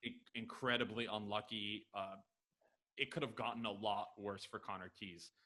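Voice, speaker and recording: An American man from Los Angeles joins a podcast by headset from his home. His voice sits a little low, and he talks with eager, quick enthusiasm, sprinkling in fillers. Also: fairly even intonation, bright, forceful tone. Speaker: podcast guest. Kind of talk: casual interview answers. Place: home room, video call.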